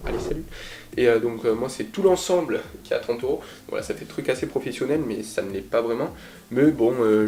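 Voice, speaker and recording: A man's voice: medium pitch, background noise. A young man with a cold chatting casually to camera in his bedroom, rambling with lots of fillers, recorded on a cheap desk mic. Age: young adult. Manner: rambling, filler-heavy, self-deprecating. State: congested with a cold. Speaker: YouTuber. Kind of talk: casual vlog chat. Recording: echoey room with background music.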